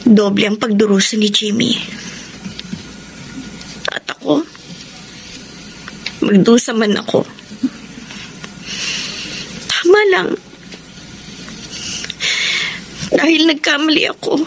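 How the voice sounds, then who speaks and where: An actress with a Filipino accent playing a guilt-ridden, resigned young bride-to-be in a radio drama, speaking in a sorrowful, halting, emotive way. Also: female voice, a little high, a little hiss or hum, rough recording. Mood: tearful remorse. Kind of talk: Tagalog radio drama acting.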